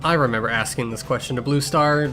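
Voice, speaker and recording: A man's voice: medium pitch, fast, lightly acted. A man voicing a grumpy, sarcastic blind young cat healer in a fan Q&A, with a curt, irritable and defensive manner. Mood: firm, dry. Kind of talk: improvised in-character fan Q&A. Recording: music behind the voice.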